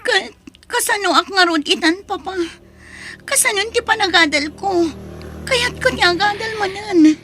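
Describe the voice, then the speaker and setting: A woman acting a high-pitched, emotional young daughter. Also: unhurried, pushed and bright, a little hiss or hum, full character voice. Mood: shocked, pleading. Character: tearful, remorseful young female student and daughter. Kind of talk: emotional audio drama acting.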